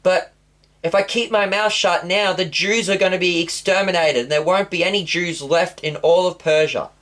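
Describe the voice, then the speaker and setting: A man's voice: high voice, fairly even intonation, normal speed, a bit bright. A man voicing an earnest young queen. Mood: pleading.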